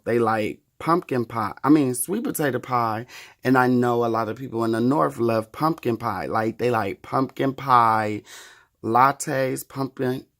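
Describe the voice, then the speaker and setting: A man's voice: mid-range voice, gravelly, a bit bright. A man in his thirties chatting casually to the camera in a wry, profane, chatty way. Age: 30s. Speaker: vlogger.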